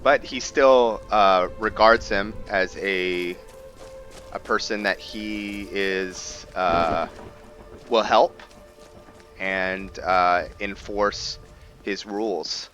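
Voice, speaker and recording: A man with a low, bright voice talks through his roleplay game at an unhurried pace. He thinks aloud in a casual way, hesitating often, with faint music behind him. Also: fairly even intonation. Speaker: gaming video host. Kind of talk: casual roleplay gameplay commentary.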